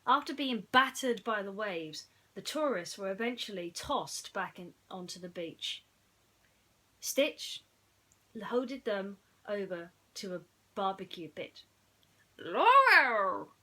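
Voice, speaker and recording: A woman's voice: medium pitch, slow, pushed and bright. A woman reading stories to children in a playful, theatrical way, with chatty asides. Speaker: children's bedtime story reader. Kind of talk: animated storytelling for children.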